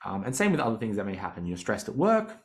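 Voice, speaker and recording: Man, 30s, fitness coach, casual podcast talk, animated, lower voice. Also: fast talker. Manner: animated, chatty, laughs easily.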